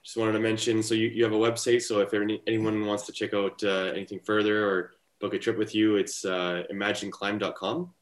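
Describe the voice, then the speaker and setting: A man's voice: on the low side, level tone, brisk, few pauses. A man co-hosting a podcast in casual, conversational interview talk. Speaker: podcast co-host. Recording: roomy, echoing sound.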